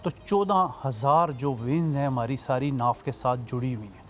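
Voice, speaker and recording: A man's voice: mid-range voice, moderate pace, fairly bright tone, faint music behind. A man, a doctor and health expert on a morning show, explaining health tips in a folksy, plain way. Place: TV studio.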